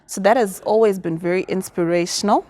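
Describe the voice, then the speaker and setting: A woman's voice: mid-range voice, steady tone, fairly quick, a little mellow. A confident, articulate woman from Zimbabwe, answering interview questions about business and activism. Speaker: guest.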